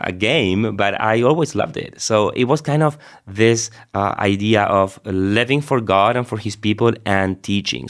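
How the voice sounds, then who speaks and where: Low-voiced Argentine priest, middle-aged man. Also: fairly bright tone. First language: Spanish. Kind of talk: personal storytelling in an interview.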